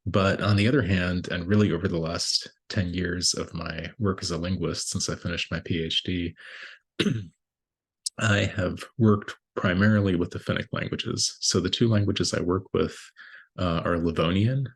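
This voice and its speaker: A man's voice: low-pitched, steady tone, moderate pace, slightly rough. A middle-aged man, a native Latvian speaker, giving an academic talk as a linguist, hesitant and full of filler sounds and restarts. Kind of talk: academic presentation with slides.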